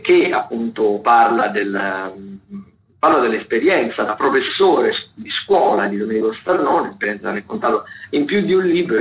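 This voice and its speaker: A man giving a loose radio rundown of events as a critic, haltingly, searching for words and correcting himself. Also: medium pitch. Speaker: theatre critic presenting a weekly events agenda. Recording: roomy, dull lo-fi radio sound.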